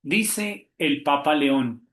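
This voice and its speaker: A man with a Colombian accent hosts an online talk, his voice sitting a little high as he rushes along with fillers and self-corrections. He sounds like he is on a computer mic in a room with noticeable echo. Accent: Colombian Spanish. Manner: quick, animated talk with many fillers and restarts. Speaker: theology professor hosting a webinar. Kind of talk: webinar presentation.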